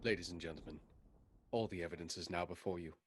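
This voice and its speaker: A man playing a grave gentleman doctor in a radio play, speaking formally and solemnly. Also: low-pitched, quick pace, slight background noise, lightly acted.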